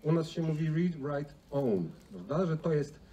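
Middle-aged male conference speaker, conversational, relaxed low-brightness tone, recorded at a distance with background noise. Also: unhurried. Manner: conversational, frequent rhetorical tag questions. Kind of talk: informal conference presentation. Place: large indoor auditorium stage. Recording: distant, noisy stage sound in a hall.

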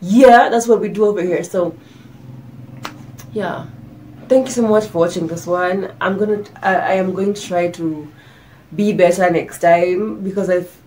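A woman's voice: lowish voice, unhurried, a little mellow, slight background noise. A young woman chatting candidly to camera in her bedroom as a vlogger, sick and stuffy, losing her voice, restarting her sentences often. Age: young adult. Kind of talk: casual chat to camera. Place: bedroom, indoors. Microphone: camera in front, medium framing.

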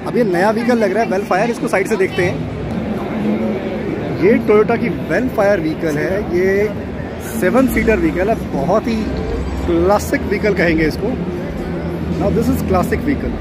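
A man's voice: sits high, unhurried, pauses often. A middle-aged man narrating a casual walkthrough of cars, mixing in English phrases and repeating names. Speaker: vlogger touring a car show. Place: large indoor auto expo hall. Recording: loud crowd hall noise.

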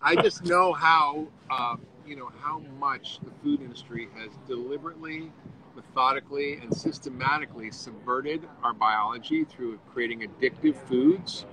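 A man's voice: mid-range voice, moderate pace, bright, forceful tone, slight background noise. Earnest sixty-year-old man on a phone call. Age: 60s. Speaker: guest, physician. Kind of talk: casual live video chat. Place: outdoor café seating, windy. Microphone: phone front camera at arm's length.

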